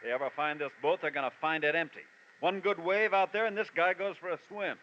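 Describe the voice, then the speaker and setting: An actor playing a brutal, bullying American criminal husband in a vintage radio crime drama, gruff and browbeating. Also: male voice, on the high side, a little fast, dull audio, lightly acted. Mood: cold, businesslike. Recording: noisy, band-limited vintage radio recording.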